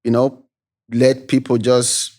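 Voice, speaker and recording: A man's voice: medium pitch, slow pace. Nigerian-accented man telling his story. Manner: relaxed storytelling, frequent "you know" tags. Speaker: guest, tech founder. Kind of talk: casual interview chat.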